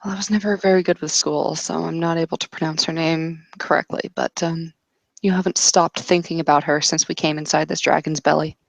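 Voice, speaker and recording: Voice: medium pitch, steady tone, fairly quick, creaky, no echo. A game master in an improvised tabletop roleplay, putting probing questions to a player.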